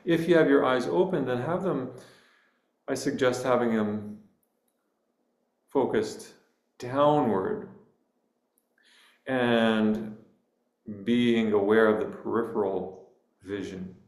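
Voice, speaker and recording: Male meditation guide. Very slow, gentle delivery with space between phrases, mid-pitched, recorded in an echoing room. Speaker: meditation teacher.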